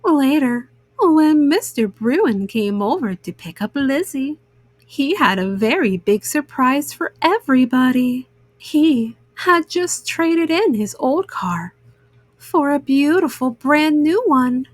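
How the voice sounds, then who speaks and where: A woman reading a children's story aloud in an expressive, measured narration for young listeners. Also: high-pitched, dry recording.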